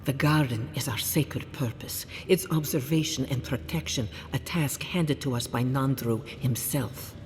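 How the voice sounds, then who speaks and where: An actor playing a proud, stern and suspicious council leader in a fantasy game, with a formal, challenging, imperious manner. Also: male voice, medium pitch, a little slow, few pauses, a little hiss or hum, lightly acted. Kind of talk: dramatic fantasy game acting. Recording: long hall reverb.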